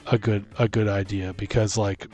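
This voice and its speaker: A man co-hosting a casual conversation about tabletop games, feeling his way through sentences haltingly. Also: lowish voice, level tone, a little mellow, husky, faint music behind. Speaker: podcast co-host.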